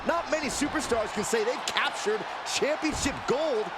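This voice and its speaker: A man's voice: very high voice, normal speed. A man playing an enthusiastic colour commentator on wrestling in a video game. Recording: loud arena noise behind.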